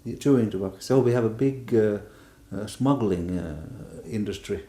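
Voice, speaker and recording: A man with a low, warm voice, a Finn raised in Sweden, speaks in a measured, reflective way on a conference panel. The sound carries the echo of a roomy hall. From Finnish, raised in Sweden.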